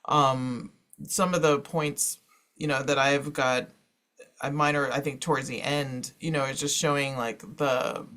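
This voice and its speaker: A man's voice: medium pitch, flat delivery, measured pace, sharp tone. A man moderating an informal panel presentation, talking in a discursive way peppered with "you know".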